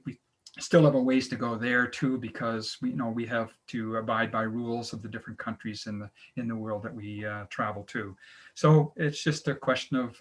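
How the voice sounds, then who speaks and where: A middle-aged man from Canada, hosting an online travel presentation, talking haltingly with many fillers and restarts. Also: mid-range voice, a bit bright. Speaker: travel agency owner and webinar host.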